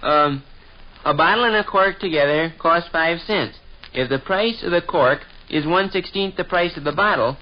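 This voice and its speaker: An actor playing an earnest, patient American schoolboy nephew in a chummy 1940s radio comedy style. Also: male voice, a little high, moderate pace, close to an everyday voice. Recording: dull vintage 1940s radio recording.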